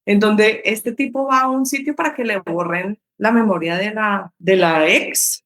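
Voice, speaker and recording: A woman of middle pitch speaks as a psychotherapist guest on a podcast. She is thoughtful and conversational, building her points through simple everyday comparisons. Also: measured pace, fairly bright tone. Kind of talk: intimate conversational interview.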